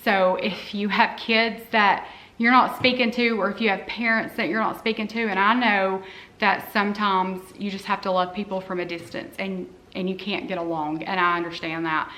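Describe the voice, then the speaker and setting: A woman's voice: medium pitch, steady tone, normal speed, pushed and bright, low-quality recording. A woman in her forties talking casually to camera as a vlogger, earnest and heartfelt, rambling with frequent "um"s. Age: 40s. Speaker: cleaning vlogger.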